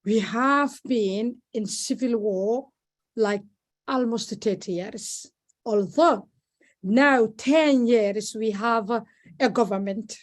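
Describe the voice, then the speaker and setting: A woman from Somalia with a Somali accent speaks at an online meeting over a video call, halting and repeating words, with many fillers. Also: a little high, a little mellow. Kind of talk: informal speech at an online meeting.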